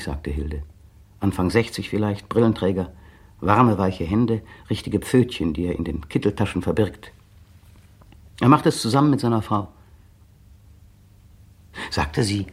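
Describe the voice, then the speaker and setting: Casting: a bitter, brooding radio-play narrator, low husky voice, very lively pitch. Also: male voice, heavily acted. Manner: brooding, bitter, precise diction. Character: tense bitter man brooding on his partner. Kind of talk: 1960 radio drama inner monologue.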